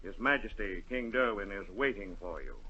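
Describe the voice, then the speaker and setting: An actor playing a stern, brisk captain of the royal guard, clipped and commanding, in 1940s radio drama style. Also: male voice, lowish voice, sharp tone, husky, full character voice. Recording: dull 1940 radio recording.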